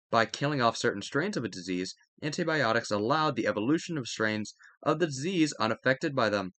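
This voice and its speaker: Male educational reader, mid-pitched, highly animated intonation, prone to small slips and self-corrections, dry close recording. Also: dry recording. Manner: stumbles over words and corrects himself. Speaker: reader and host of study videos. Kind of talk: reading a textbook aloud with added comments.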